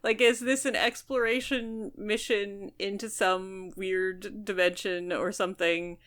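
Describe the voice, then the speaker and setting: A woman podcast co-host talks in an informal, speculative way. She is blunt and conversational, working through ideas aloud at a medium pitch. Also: little pitch movement, a little slow, a bit bright. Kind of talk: casual book discussion.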